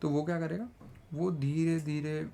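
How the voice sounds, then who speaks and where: A young man with a sore throat teaching a trading lesson, chatty and energetic, mixing English phrases into his talk. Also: fairly high pitch, unhurried, creaky. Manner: energetic, mixes English phrases, repeats "theek hai". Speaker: trading educator. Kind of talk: casual trading tutorial in Hindi-English mix.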